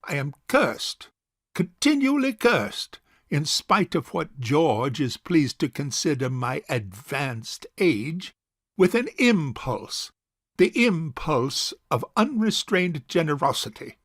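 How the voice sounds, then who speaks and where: An actor playing a pompous, self-righteous rich old bachelor uncle in a theatrical period farce. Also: male voice, a little high, very animated, a bit bright, slightly rough, dry recording, studio quality, exaggerated voice. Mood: pompous, self-satisfied. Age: older. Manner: pompous, deliberate, orating. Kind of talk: theatrical period farce audio drama acting.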